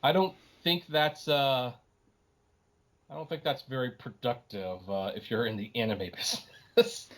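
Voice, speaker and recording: A man's voice: mid-range voice, steady tone, a little slow, fairly bright tone. A man hosting a podcast, giving his opinions casually and emphatically, often acting out what other people say. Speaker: podcast host.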